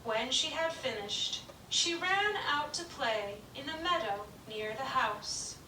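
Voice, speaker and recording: A woman narrating a children's puppet show story in a slow, gentle, clearly paced reading. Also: high voice, lively intonation, a little hiss or hum. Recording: echoey room, distant mic.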